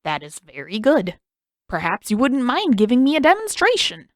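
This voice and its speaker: A woman's voice: fairly high pitch, huge pitch swings, moderate pace, dry recording, clean studio sound, pitched above the performer's own voice. Woman doing a sly mocking old king. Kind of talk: fan fiction audiobook reading.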